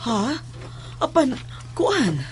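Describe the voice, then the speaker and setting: A woman's voice: high-pitched, very animated, slight background noise, exaggerated voice. A woman playing a confused, stammering client in an audio horror drama. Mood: confused, hesitant. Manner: halting, stammering.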